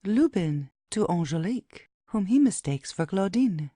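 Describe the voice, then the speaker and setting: A woman's voice: medium pitch, lively intonation, unhurried, dark tone, dry recording, clean studio sound. A woman narrating an audio play, reading out headings and stage directions as scripted narration.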